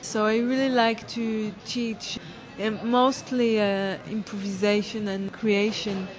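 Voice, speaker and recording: A woman, a dance teacher and choreographer, answering interview questions in halting English as she searches for words. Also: medium pitch, flat delivery, lo-fi sound. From Israel.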